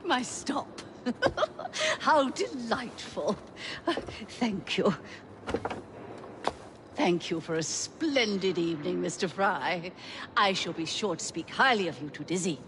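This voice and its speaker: A woman's voice: medium pitch, sing-song, takes it slowly, long pauses. A woman playing a gracious, well-bred Victorian society lady in a video game, with an English accent and a grand, refined manner. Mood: delighted. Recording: clear background noise.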